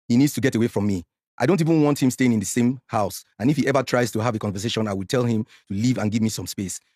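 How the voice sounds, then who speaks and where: A Nigerian man in his forties telling a painful personal story as a podcast guest, animated and slipping into Pidgin when worked up. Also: no room sound, clean studio sound. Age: 40s. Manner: animated, mixes Pidgin, gets loud and high when worked up.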